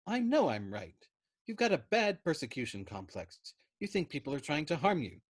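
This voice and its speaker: A man's voice: a little high, sing-song, normal speed, breathy edge, dry recording, studio quality, voice pushed up. Man acting out a pompous, belligerent army major. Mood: accusing. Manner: emphatic, contradicting, browbeating.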